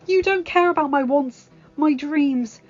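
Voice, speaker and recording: A woman doing a passionate, high-voiced young heroine. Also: normal speed, lightly acted. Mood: defiant. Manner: high, pleading and impassioned. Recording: dull lo-fi sound with music.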